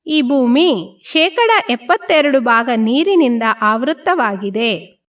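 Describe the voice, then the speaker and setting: A woman leading a scripted guided meditation, speaking in measured, instructional phrases with deliberate pauses between them. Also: on the high side.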